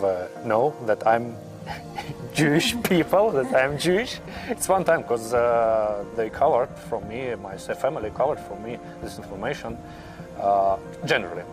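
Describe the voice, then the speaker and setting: A young man with a Russian accent answering interview questions in halting, searching English with many pauses. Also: fairly low pitch. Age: young adult. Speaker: interviewee, new immigrant.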